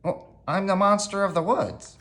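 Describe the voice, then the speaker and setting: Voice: medium pitch, steady tone, moderate pace, fairly bright tone, pitched above the performer's own voice, lightly acted. A storyteller voicing a tiny, harmless, timid male furry monster with a hesitant, sheepish way of talking. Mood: sheepish boast.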